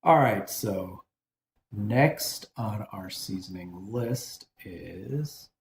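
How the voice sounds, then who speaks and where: A man's voice: fairly low pitch, lively intonation, extremely slow, gravelly, roomy sound, a little hiss or hum. A man hosting a casual cooking demonstration, chatty and rambling, often correcting himself as he seasons a dish. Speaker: cooking show host.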